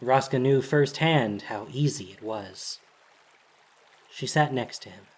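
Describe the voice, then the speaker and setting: A narrator with a husky, breathy voice reads a dramatic story in measured, solemn, deliberate phrases. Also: mid-range voice, darkish tone.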